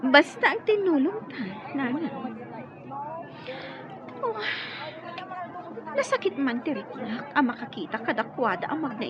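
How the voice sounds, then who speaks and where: A woman playing a possessive, scheming young woman in an Ilocano radio drama, with an Ilocano accent and drawn-out melodramatic delivery. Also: high-pitched, highs cut off, background noise, full character voice.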